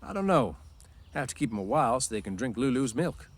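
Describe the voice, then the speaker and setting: A man reading aloud, voicing Slim, a calm, dignified male mule driver who speaks gently and slowly in plain ranch talk. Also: mid-range voice, voice pushed up. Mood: gentle, calm. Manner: gentle, slow, quiet.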